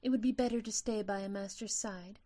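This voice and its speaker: A woman's voice: medium pitch, steady tone, brisk, mellow, natural voice. A woman playing a tiny, delicate winged sprite in an audio drama, earnest and tender, with formal, solemn phrasing. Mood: grave, pleading.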